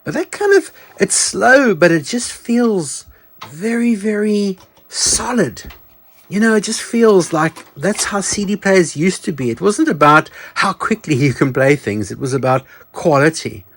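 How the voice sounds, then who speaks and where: A man's voice: high-pitched, expressive, unhurried, fairly bright tone. A man hosting a casual show-and-tell video, chatting and musing aloud as he demonstrates a product, often correcting himself. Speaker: video channel host.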